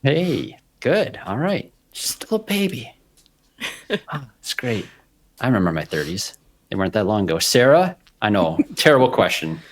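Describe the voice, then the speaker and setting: A man's voice: medium pitch, big pitch swings, moderate pace. A man in his forties hosting a podcast, chatting casually and laughing often. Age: 40s. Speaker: podcast host. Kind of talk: casual panel chat and read ads.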